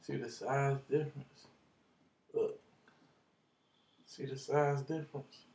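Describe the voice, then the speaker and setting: A young man talking into his webcam in a dark bedroom, bragging in slang, half-singing some lines. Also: medium pitch, flat delivery, slow, creaky, reverberant. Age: young adult. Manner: boastful slangy banter, drifts into half-sung lines and laughs. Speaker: vlogger. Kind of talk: casual rambling vlog. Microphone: computer webcam up close.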